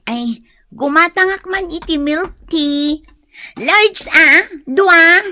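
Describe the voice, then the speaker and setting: Bright, high-voiced bossy Ilocano best friend. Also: female voice, slow, no room sound, studio quality, exaggerated voice. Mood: brisk, exasperated. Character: supportive, bossy young best friend of a single woman. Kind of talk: Ilocano comedy radio drama acting.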